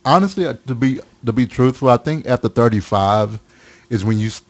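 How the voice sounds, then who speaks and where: A man in his thirties with a Kentucky accent chats on a podcast, joking and rambling. His voice sits at a middling pitch for a man. He talks in a loose, playful way. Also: lively intonation, brisk. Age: 30s. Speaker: podcast co-host. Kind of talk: casual chat.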